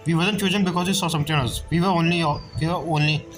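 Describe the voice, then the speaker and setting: A woman's voice: lowish voice, steady tone, fast. A woman giving casual, blunt, sweary gameplay commentary as a streamer, with non-native phrasing. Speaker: game streamer. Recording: loud game music behind.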